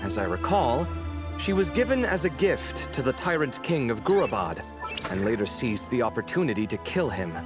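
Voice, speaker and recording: A man performs a young, opinionated artist-scholar from a fantasy game. His mid-range voice moves with lively expression as he reasons things out. Faint music sits behind him. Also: medium pitch, normal speed, natural voice. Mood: recounting. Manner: expressive, slightly indignant, thoughtful. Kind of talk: animated video game voice acting.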